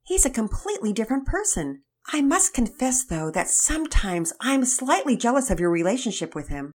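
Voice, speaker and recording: A woman's voice: on the high side, very animated, normal speed, breathy edge, pitched above the performer's own voice. A woman voicing a caring but skeptical mother who speaks wryly about her son's newfound faith. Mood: friendly. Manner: wry, doubtful.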